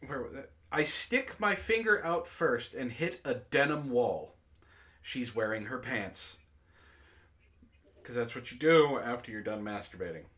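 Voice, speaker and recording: Bright, highly expressive man reading aloud. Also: unhurried. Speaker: host reading aloud for an online book club. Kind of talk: dramatic prose reading with candid asides.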